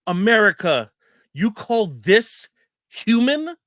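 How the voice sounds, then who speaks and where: A man's voice: high voice, big pitch swings, takes it slowly, a bit bright, no room sound, clean studio sound, exaggerated voice. A man acting an indignant, fearmongering American senator in a radio play, speechifying with rhetorical emphasis. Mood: grave alarm. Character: alarmist, indignant male American senator stirring up fear.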